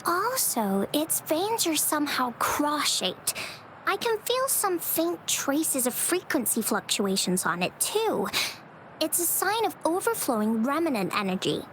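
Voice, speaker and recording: A woman's voice: very high voice, a little hiss or hum, full character voice, rough recording. A woman voicing a shy, studious young botanist in a video game, explaining precisely and modestly.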